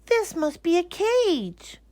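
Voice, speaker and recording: Woman voicing a high, dreamy little toy bear. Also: big pitch swings, slow pace, warm tone, no room sound, heavily acted. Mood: wondering. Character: gentle, wondering, childlike male toy bear.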